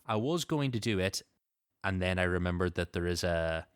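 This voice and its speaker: A man's voice: low voice, expressive, normal speed, clear, resonant tone, dry recording, studio quality. A man hosting a podcast, moving between show announcements and casual chat. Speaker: podcast host.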